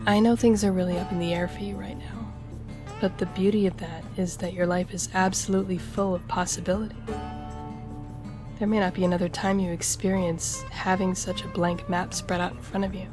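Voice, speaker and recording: A woman's voice: mid-range voice, little pitch movement, brisk, natural voice. A woman playing a charming, flirtatious gambler and stowaway in an intimate audio roleplay, teasing and conversational as she speaks to the listener. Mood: warm, flirtatious. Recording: music behind the voice.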